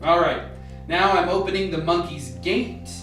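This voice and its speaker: A man's voice: sits high, steady tone, normal speed, a bit bright. Man acting a methodical scientist in an echoey room. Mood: commanding, focused. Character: measured, methodical male scientist leading a lab team. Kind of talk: amateur radio play acting. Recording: very echoey room, distant, music behind.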